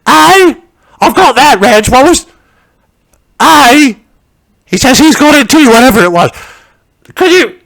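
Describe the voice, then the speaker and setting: A man doing a gravelly high-voiced old working-class wife. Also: animated, measured pace, pauses often, exaggerated voice. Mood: gossipy. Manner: rambling gossipy storytelling, shouting to husband and dog. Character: nosy older working-class woman, chatty and crude. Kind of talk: stand-up character monologue.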